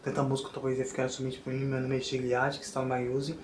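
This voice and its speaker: A man in his thirties with a Brazilian Portuguese accent, filming himself in a small bedroom and rattling off his personal facts in a quick, flat run. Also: medium pitch, noisy background. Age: 30s. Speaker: vlogger. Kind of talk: self-recorded spoken introduction. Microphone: camera held close at arm's length. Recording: echoey small bare room.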